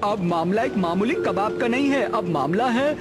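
An actor voicing a bumbling, self-important mustached detective in a Hindi cartoon dub, pompous and theatrical. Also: male voice, high-pitched, expressive, moderate pace.

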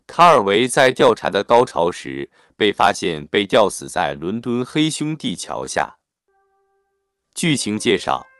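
A man narrates a prepared plot recap. His voice sits on the low side. The intonation is very animated, rising and falling widely as he reads.

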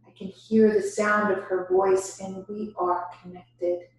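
A woman leading a guided yoga nidra meditation as instructor, giving deliberate instructions broken by pauses. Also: medium pitch, level tone. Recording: very echoey room, distant microphone.

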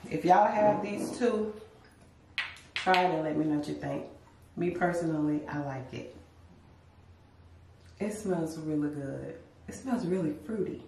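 A woman's voice: low-pitched, a little slow, gravelly, pauses often, a little hiss or hum. A woman, chatting casually to camera at home in a vlog. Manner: relaxed, chatty, addresses viewers as y'all. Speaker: lifestyle vlogger. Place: bedroom at home, later a car. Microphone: camera a few feet away. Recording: roomy echo, distant from the mic.

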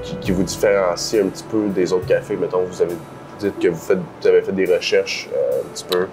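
A man's voice: deep voice, fairly even intonation, brisk, darkish tone, faint music behind. A man in his thirties with a Quebec French accent, casually hosting an interview in informal, colloquial speech. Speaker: host, real estate broker. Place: indoor café. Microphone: lapel mic.

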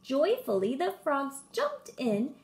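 A woman, reading a storybook aloud to children with expressive, emphatic phrasing, recorded at home. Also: high voice, slow. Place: room at home.

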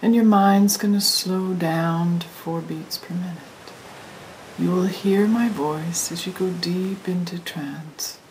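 Female meditation guide: low-pitched, soothing, very unhurried, breathy near-whisper, trance-induction delivery. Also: poor audio. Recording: hissy recording with steady noise.

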